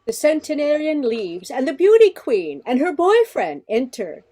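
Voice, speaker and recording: High, animated woman as comedy narrator. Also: unhurried. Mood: narrating. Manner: stage-direction storytelling. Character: female storyteller narrator of a diner comedy. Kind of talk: scripted narration of a radio play.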